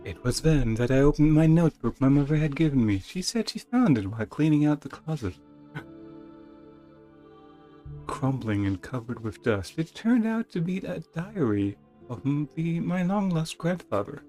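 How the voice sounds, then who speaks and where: A man's voice: on the high side, very animated, moderate pace, few pauses, mellow. Wistful storyteller read by a man over music. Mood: reflective. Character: wistful narrator reminiscing from an old diary. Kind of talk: dramatic reading of text adventure.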